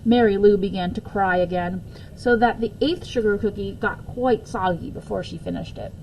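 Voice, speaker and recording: A woman reading a children's book aloud as an expressive storyteller. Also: mid-range voice, normal speed, a little hiss or hum, low-quality recording. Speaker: story reader.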